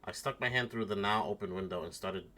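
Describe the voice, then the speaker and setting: A man's voice: lowish voice, fast, heavy background noise, close to an everyday voice. A man voicing an earnest, anxious teenage schoolboy who narrates his own mystery in a visual novel read-aloud, with dramatic expression. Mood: tense narration.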